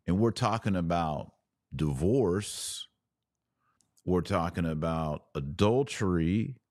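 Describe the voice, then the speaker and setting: A man hosting a religious podcast, preaching in an earnest, emphatic way with deliberate, broken-up phrasing. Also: deep voice, lively intonation, a little mellow, dry recording, clean studio sound. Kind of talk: preachy video commentary.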